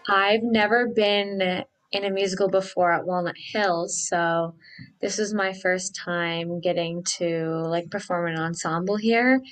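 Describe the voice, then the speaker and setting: A teenage drama student from Massachusetts talks on a video call with a fairly low voice. She is relaxed and chatty as she speaks. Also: measured pace, clear, resonant tone, low-quality recording. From Melrose, Massachusetts. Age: late teens.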